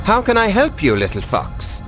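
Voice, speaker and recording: Game villain, male: a condescending, theatrical Templar, highish pitch, hugely swinging intonation, music behind. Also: wildly swooping pitch, normal speed, fairly bright tone, heavily acted. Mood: smug, patronizing. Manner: theatrical, patronizing, drawling. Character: smug, condescending Templar villain, adult man. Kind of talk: video game cutscene acting. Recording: music under the voice.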